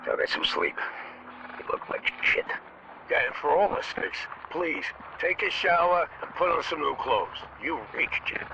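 Gruff, gravelly older leader. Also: male voice, on the high side, sing-song, moderate pace, dull audio, noisy background. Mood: gruff concern. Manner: blunt, teasing, caring. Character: gruff, fatherly older male survivor leader. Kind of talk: video game cutscene acting.

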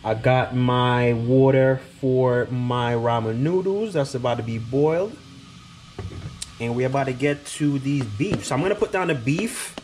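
A man's voice: medium pitch, a little mellow, a little hiss or hum. A young man hosts a casual home cooking vlog, talking straight to the camera. His delivery is chatty and upbeat, and he keeps circling back to his main points. He sounds like he is recorded on the camera's own mic at home. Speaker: cooking vlogger. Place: living room sofa, then home kitchen.

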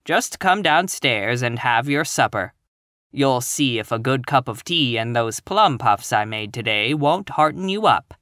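A reader voicing Marilla, a brisk, stern older woman who speaks in a measured, matter-of-fact way. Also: medium pitch, animated, a bit bright, dry recording, studio quality.

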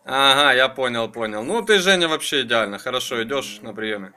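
A man's voice: mid-range voice, lively intonation, fairly quick, bright, forceful tone, a little hiss or hum. A man chatting casually as a radio operator, in friendly colloquial language and clipped radio phrases. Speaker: amateur radio operator running an antenna test. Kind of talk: casual ham radio chat.

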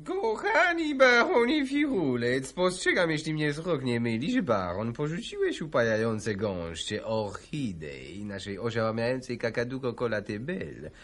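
An actor playing an affected, mocking aristocrat in a radio play, with florid, exaggerated delivery. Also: male voice, medium pitch, big pitch swings, a little slow, few pauses, a bit bright. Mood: florid teasing. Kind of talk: theatrical radio play acting.

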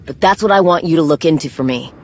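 A woman's voice: sits low, fast talker. A woman playing a tough, guarded young warrior in a video game, speaking bluntly and drily. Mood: businesslike, determined. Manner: blunt, dry, no-nonsense. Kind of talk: video game cutscene acting.

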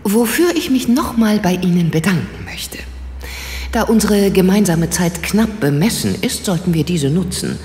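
A woman's voice: lowish voice, animated, moderate pace, darkish tone, roomy sound, big-room sound, slight background noise, close to an everyday voice. A woman playing a polite, determined investigator in a German radio play, courteous and direct. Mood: courteous, probing.

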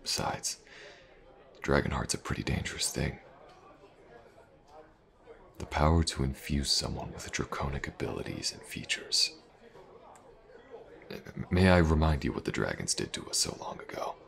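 A man playing a tough, easygoing mercenary warrior in a fantasy audio roleplay, talking in a laid-back, musing murmur with unhurried pauses. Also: very deep voice, lively intonation, vocal fry, a bit bright, exaggerated voice. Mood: musing, cautious. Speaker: voice actor. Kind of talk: fantasy audio roleplay, intimate conversational acting.

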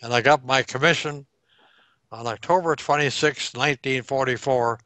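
A man in his nineties recalls old events at an unhurried pace, stopping now and then to pin down dates. His voice is bright and crisp, picked up dry and close by a headset on a video call. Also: mid-range voice, no room sound, low-quality recording. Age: 90s. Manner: slow, reflective recollection with pauses to recall dates. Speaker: World War Two veteran interviewee. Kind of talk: oral history interview over video call. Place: home room by a staircase.

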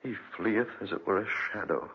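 A muffled old radio drama recording carries a low, husky male voice. a brooding avenger driven mad by grief, speaking with grave, theatrical intensity. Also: a little fast. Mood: mournful. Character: vengeful, grief-maddened man, escaped debtor turned obsessive avenger. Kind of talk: 1940s radio drama acting.

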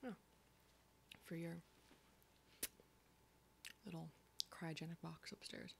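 A woman playing a foul-mouthed, sardonic apocalypse survivor in an ASMR horror roleplay, breathy, dry and often whispering. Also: low-pitched, fairly even intonation, noisy background, heavily acted. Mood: wary, casual. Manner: sweary, dry, unhurried.

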